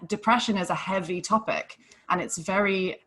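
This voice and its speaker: A young adult woman from Hong Kong, a depression coach speaking on a live online panel in an earnest, gentle, explanatory way. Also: mid-range voice, normal speed, rough recording.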